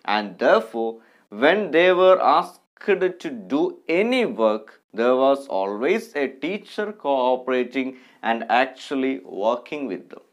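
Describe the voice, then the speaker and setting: A man in his thirties with an Indian accent, teaching an English lesson and reading aloud with deliberate, careful articulation. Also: mid-range voice, sing-song. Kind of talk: online lesson with text read aloud.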